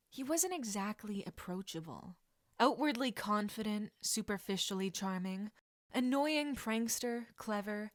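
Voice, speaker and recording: A woman's voice: medium pitch, dry recording, clean studio sound. A woman reading a scripted piece of fiction aloud as a narrator. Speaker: podfic narrator.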